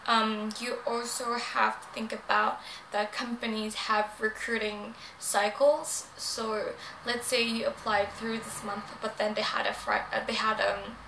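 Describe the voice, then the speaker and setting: A woman's voice: a little high, level tone, a little slow, vocal fry. A young woman who is not a native English speaker giving casual advice in a vlog, chatty with many ums, earnest. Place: room in Japan. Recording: echoey room, faint noise.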